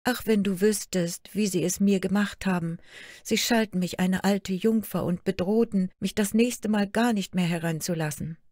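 A woman's voice: medium pitch, normal speed, darkish tone, no echo, studio quality, pitched above the performer's own voice, natural voice. A woman voicing Märchen, a young girl who is the spirit of fairy tales, pleading and tearful.

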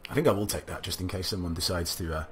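A young man with a low, slightly gravelly voice talks through a strategy game, musing aloud to himself in a loose, chatty way. Soft game music plays faintly under his desk-mic commentary. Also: fast. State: recovering from a cough, slight gravel. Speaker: let's play commentator. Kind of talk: casual gameplay commentary.

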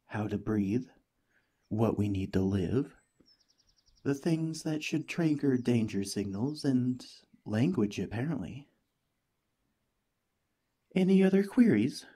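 A man voicing a cheerful, friendly alien parasite in a gentle, chatty ASMR roleplay, eager and reassuring. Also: mid-range voice, animated, slow, pauses often, slightly rough. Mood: friendly, earnest. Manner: gentle, chatty, playfully earnest.

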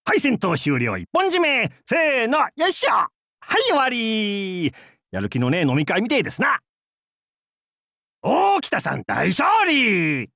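Game pirate captain, gravelly, husky, hammy, jokey, wildly swinging pitch. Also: male voice, huge pitch swings, measured pace, sharp tone, no echo, clean studio sound, exaggerated voice. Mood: hammy battle cries. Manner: hammy, theatrical, jokey otaku-style speech. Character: boisterous adult male pirate captain, crude and goofy. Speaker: game character voice. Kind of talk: voiced game character lines.

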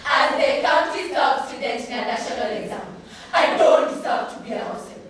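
Teen girl, Kenyan accent, high-pitched theatrical poetry recital, captured from far off in a very echoey hall. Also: lively intonation, a bit bright. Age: teens. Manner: theatrical, emphatic, with deliberate pauses. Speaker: high school student reciting a poem. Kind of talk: dramatic recited spoken-word poetry.

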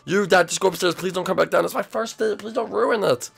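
A man voicing an excitable, whiny seven-year-old cartoon monster boy who nervously repeats himself. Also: medium pitch, a little fast, vocal fry, faint music behind, natural voice. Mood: pleading. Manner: nervous, boastful, whiny, repeats words frantically.

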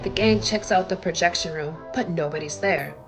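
An older woman reading a children's picture book aloud in an expressive, dramatic way. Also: lowish voice, normal speed, music in the background.

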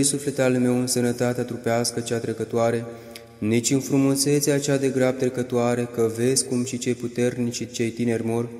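A man's voice: medium pitch, dark tone. Solemn man reading prayers in an echoey church. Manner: solemn, measured, rising on each plea. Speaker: prayer reader. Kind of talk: chanted liturgical prayer reading.

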